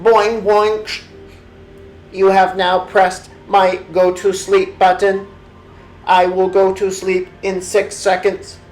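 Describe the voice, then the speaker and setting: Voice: mid-range voice, monotone, takes it slowly, a little mellow, faint music behind, voice pushed up, close to an everyday voice, rough recording. A storyteller voicing a polite, friendly little robot in a bedtime story, announcing things in short phrases. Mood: cheerful announcing. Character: polite friendly toy-like robot announcing its own sleep mode.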